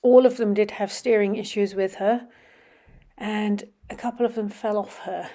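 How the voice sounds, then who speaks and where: Chatty sniffly mum narrating riding clips. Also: female voice, mid-range voice, fairly even intonation, normal speed. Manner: chatty, many ums, drops to quiet murmurs while watching. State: sniffly with a runny nose. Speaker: vlogger. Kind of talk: casual voice-over commentary on riding videos.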